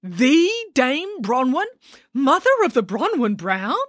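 A woman voicing an excitable father who gets breathless and thrilled. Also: fairly high pitch, unhurried, breathy edge, no echo, studio quality, voice pushed up, heavily acted. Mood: excited. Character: excitable grown-up dad, easily thrilled.

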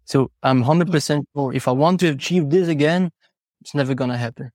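A man's voice: a little high, fairly quick, darkish tone, no room sound, clean studio sound. A man who is not a native English speaker, talking reflectively and earnestly as an interview guest, explaining ideas through personal stories and rhetorical questions. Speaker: horse trainer and podcast guest.

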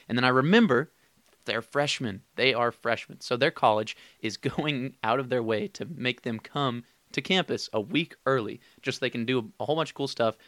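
Raspy young man hosting a solo podcast. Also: medium pitch, fairly bright tone, dry recording. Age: 20s. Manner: casual, candid, laughs as he talks. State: raspy from illness. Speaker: podcast host. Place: his room.

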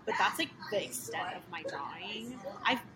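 A woman's voice: medium pitch, normal speed. A young woman from California, a home baker, talking casually with lots of "you know" and "like". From Costa Mesa, California. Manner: relaxed, many fillers like "you know" and "like". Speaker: small business owner, baker. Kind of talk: casual interview guest. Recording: noisy background.

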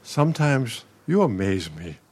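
A man's voice: mid-range voice, big pitch swings, unhurried, darkish tone, slightly rough. Casting: man to play needling older American husband in radio drama, sardonic, grumpy, widely varied intonation. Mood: insistent.

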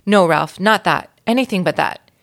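A woman's voice: fairly low pitch, normal speed, gravelly, fairly bright tone. Woman as worried mother mouse, storybook character, fretful, dramatic, pleading. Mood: alarmed, pleading.

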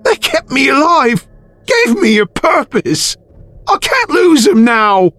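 A man's voice: very high voice, wildly swooping pitch, takes it slowly, fairly bright tone, no echo, pitched above the performer's own voice, heavily acted. A man voicing a grief-stricken widowed man who pleads tearfully in a spoken horror story. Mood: desperate.

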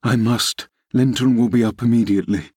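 A man's voice: mid-range voice, steady tone, normal speed, warm tone, breathy edge, no room sound, clean studio sound, lightly acted. A man playing an anguished, fierce and brooding male lover, speaking with earnest intensity in a classic novel reading. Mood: urgent pleading.

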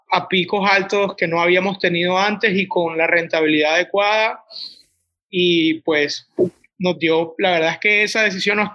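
A Colombian man in his twenties speaks casually about his restaurant, circling back on himself with plenty of restarts. His voice sits fairly high and sounds bright and forward. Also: muffled recording. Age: 20s. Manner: rambling, many restarts and "o sea". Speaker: restaurant owner. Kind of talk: casual interview answers.

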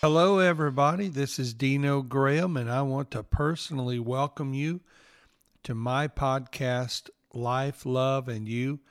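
A man in his fifties with a Southern accent, hosting a devotional podcast and speaking in an earnest, gently preaching way. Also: mid-range voice, unhurried, clear, resonant tone. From Northeast Mississippi, US.

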